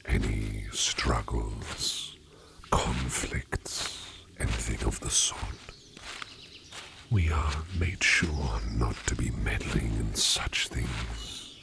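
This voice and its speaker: A man playing a courteous, secretive sleep demon in disguise for an ASMR roleplay, speaking in formal, old-fashioned, halting phrases in a breathy near-whisper. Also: a bass voice, heavy background noise, heavily acted. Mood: secretive, wistful. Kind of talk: soft-spoken ASMR roleplay addressed to the listener.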